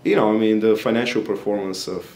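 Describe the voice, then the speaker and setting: A man's voice: fairly low pitch, fairly even intonation, quick pace, a little mellow. A young man from Bulgaria, a graduate student whose first language is Bulgarian, answering interview questions in an earnest, explanatory way with frequent fillers, recorded close up in a small plain office.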